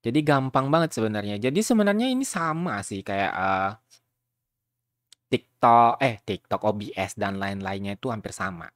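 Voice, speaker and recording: A man hosting a casual software tutorial, chatting informally and rambling a bit as he addresses viewers. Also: fairly low pitch, lively intonation, moderate pace, no room sound, studio quality. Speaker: video tutorial host.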